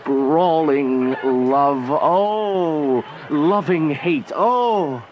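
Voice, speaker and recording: A man's voice: fairly high pitch, animated, very slow, dull audio, a little hiss or hum. An actor playing a melancholy, lovesick young nobleman in a theatrical verse radio drama, declaimed with sighs. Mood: lovesick brooding.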